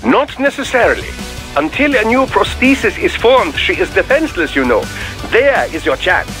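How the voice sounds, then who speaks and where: An actor playing an eccentric, fussy scientist in a video game, with a precise, formal, fascinated way of explaining. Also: male voice, high voice, very animated, moderate pace, a bit bright, faint music behind. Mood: analytical, cautioning. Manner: precise, formal, admiring lecture. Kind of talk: video game radio dialogue acting.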